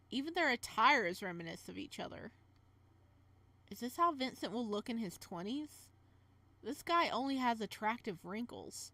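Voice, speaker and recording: A woman hosting a casual visual novel playthrough, reading narration aloud and adding playful, expressive side comments. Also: on the high side. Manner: expressive, playful reactions between read passages.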